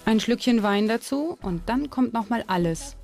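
A woman's voice: a little high, lively intonation, normal speed, gravelly, a little mellow, soft background music. A woman presenting and narrating a documentary in scripted sentences.

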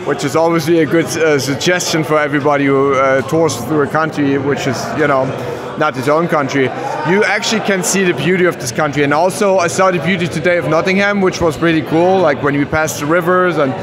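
German-accented man with a higher voice. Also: a little fast, crowd noise. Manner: confident, earnest, searches for words in English. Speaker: wrestler. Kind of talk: backstage interview. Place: backstage at a wrestling event, before a sponsor wall. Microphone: handheld mic held by the interviewer.

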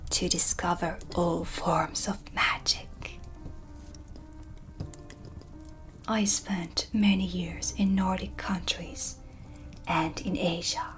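A woman playing a calm, mysterious dark witch with dry, teasing menace, in a hushed, close-miked ASMR roleplay. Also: on the low side. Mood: calm, skeptical. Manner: slow, deliberate, hushed, dryly teasing. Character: dark witch, female, mysterious, sardonic, calm teacher of shadow magic. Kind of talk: soft-spoken whispered ASMR roleplay. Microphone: close studio mic for ASMR.

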